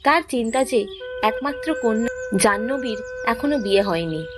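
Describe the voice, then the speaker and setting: A woman's voice: a little high, expressive, normal speed. A woman narrating a fairy tale from a script as a storyteller, with music behind her. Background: background music.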